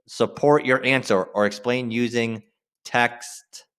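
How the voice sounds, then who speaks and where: A man's voice: medium pitch, fairly even intonation, slow pace, fairly bright tone, clean tone. A man teaching students in an informal, directive instructional style, stressing key phrases and checking in as he guides them through the lesson. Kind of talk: instructional screen-share lesson addressed to students.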